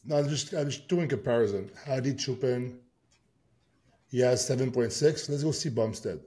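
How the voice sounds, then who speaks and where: A man in his late thirties co-hosting a casual chat, relaxed, reading things off a screen as he talks. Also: mid-range voice, normal speed. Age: 30s. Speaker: podcast co-host.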